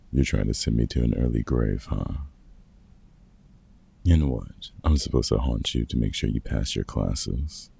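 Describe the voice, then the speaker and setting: An extremely low, heavily creaky voice for a gruff middle-aged vampire hunter acted by a man. He is a protective mentor with a husky, raspy tone and unhurried delivery, teasing and dryly fond in a comfort ASMR roleplay. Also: warm tone, heavily acted. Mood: amused, exasperated. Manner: casual, teasing, sweary, halting.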